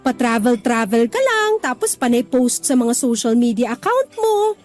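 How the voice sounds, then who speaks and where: A bubbly, teasing young office worker. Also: female voice, a little high, big pitch swings, moderate pace. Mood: playful. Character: cheerful playful young office worker woman. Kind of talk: radio drama acting. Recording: music underneath.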